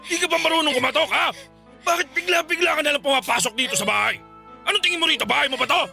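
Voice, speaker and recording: An actor playing a harsh, threatening middle-aged uncle in a radio drama, who interrogates and barks orders. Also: male voice, very high-pitched, fast talker, vocal fry, sharp tone, soft background music, full character voice. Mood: scolding. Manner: bullying, interrogating, barking orders. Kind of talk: classic radio drama acting.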